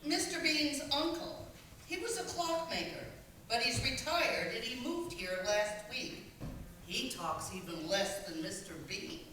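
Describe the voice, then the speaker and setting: A woman's voice: on the high side, animated, measured pace, sharp tone. A woman playing a matter-of-fact, chatty cow in a radio play, explaining things. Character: matter-of-fact female cow, farm animals' president. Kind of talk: stage radio-play acting. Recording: echoey hall, distant, faint noise.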